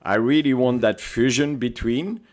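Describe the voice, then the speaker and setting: A man's voice: medium pitch, unhurried. Belgian-accented man telling stories. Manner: animated storyteller, non-native English grammar. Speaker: podcast guest. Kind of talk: casual interview chat.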